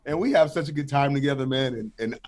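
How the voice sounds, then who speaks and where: A middle-aged man talking as a podcast guest in casual chat, animated and emotional, quick to laugh. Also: mid-range voice.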